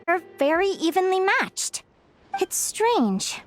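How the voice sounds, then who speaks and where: Upbeat high-voiced young fae girl. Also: slow pace, full character voice. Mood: curious, puzzled. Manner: earnest, expressive. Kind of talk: video game voice acting. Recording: faint game music behind voice.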